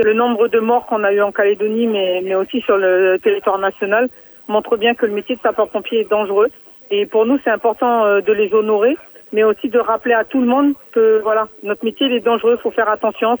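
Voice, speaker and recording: A woman's voice: medium pitch, steady tone, a little fast, rough recording. A woman, secretary general of a firefighters' union, speaking earnestly in an interview clip with some hesitations.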